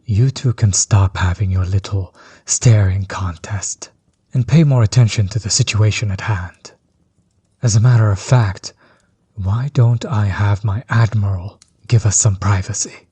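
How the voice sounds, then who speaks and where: A man playing a smug, bitter villain overlord in a roleplay audio drama, mocking and condescending, with a husky, breathy voice. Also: fairly low pitch, steady tone, dark tone, no echo. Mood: amused, taunting. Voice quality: husky, breathy, often dropping toward a whisper. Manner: mocking, condescending, drawn-out theatrical delivery. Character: smug, bitter male villain overlord, grudge against old companion. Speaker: voice actor.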